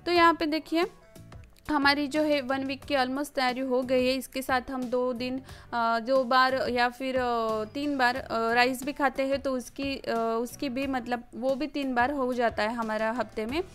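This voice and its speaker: A woman's voice: high voice, fairly even intonation, a little slow, fairly bright tone. A woman narrates a casual home cooking voice-over in a hesitant, explanatory way, often repeating words. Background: background music.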